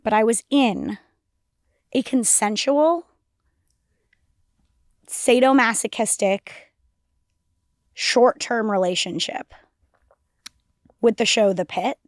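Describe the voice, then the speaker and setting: Raspy young woman chatting on a podcast. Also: fairly high pitch, takes it slowly, pauses often, no echo, clean studio sound. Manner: rambling, restarts, lots of "like". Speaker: podcast co-host. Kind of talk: casual chat.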